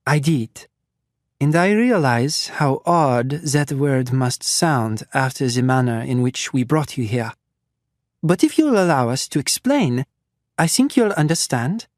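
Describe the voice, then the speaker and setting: A man's voice: mid-range voice, expressive, measured pace, a little mellow, no echo, studio quality, lightly acted. A man voicing a refined woman doctor who speaks with a slight French accent, polite and soothing. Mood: smooth reassurance.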